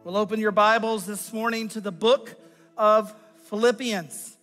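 An American man preaching a conversational teaching sermon as a pastor, with a raspy, strained voice and deliberate, emphatic phrasing. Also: high voice, steady tone, a bit bright, faint music behind. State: strained voice after losing it. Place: large church sanctuary. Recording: long hall reverb from sound system.

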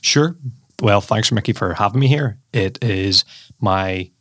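A man's voice: lowish voice, unhurried, a bit bright, no echo, clean studio sound. A man in his forties from Northern Ireland with a Belfast accent, telling his life story as a podcast guest in a chatty way, often addressing the host by name. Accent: Northern Irish, Belfast. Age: 40s. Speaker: guest, former lawyer.

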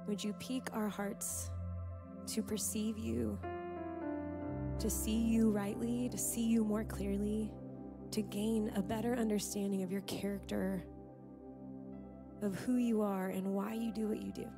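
A woman leading a public prayer as a worship leader, unhurried and reverent. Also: mid-range voice, flat delivery, gravelly. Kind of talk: earnest spoken public prayer. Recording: voice over prominent background music.

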